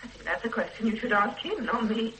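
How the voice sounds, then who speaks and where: A loyal, prim secretary in a vintage radio drama, guarded under questioning. Her voice is bright and very animated. The recording sounds roomy. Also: fairly high pitch, brisk, distant mic, a little hiss or hum. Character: loyal, defensive middle-aged female secretary. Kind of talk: 1970s-80s radio drama acting.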